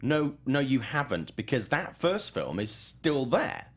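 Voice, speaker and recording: A man's voice: medium pitch, big pitch swings, a little slow. A man hosting a casual panel chat about films as a journalist. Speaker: talk show host and film journalist.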